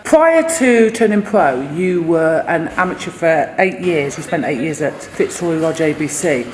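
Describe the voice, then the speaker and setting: A woman interviewing a boxer, asking brisk, encouraging questions. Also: low-pitched, animated. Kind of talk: quick-fire interview questions. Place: boxing gym. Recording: echoey gym with background noise.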